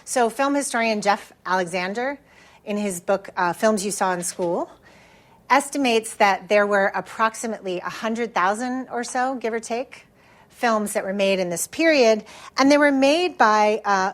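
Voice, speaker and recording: A woman's voice: mid-range voice, moderate pace. American woman professor giving a conversational lecture. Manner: explanatory, with frequent check-in tags like "right?".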